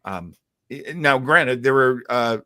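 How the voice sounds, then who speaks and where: A man's voice: medium pitch, takes it slowly, sharp tone, dry recording. Man hosting a casual chat, close dry sound. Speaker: host of a livestream about classic radio and comics. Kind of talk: casual live chat commentary.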